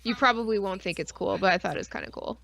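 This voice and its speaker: A woman's voice: medium pitch, quick pace, creaky. A woman co-hosting a podcast in casual chat, rambling and swearing freely as she tells stories and acts out asides. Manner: profane, rambling, animated storytelling with acted-out asides. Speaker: podcast co-host.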